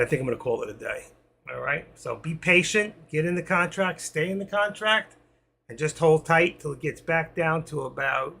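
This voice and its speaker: A man hosting a live trading stream, talking to viewers while he watches the charts, with quiet asides between his sign-offs. He is losing his voice. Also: fairly high pitch, vocal fry, husky. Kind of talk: live market commentary to viewers.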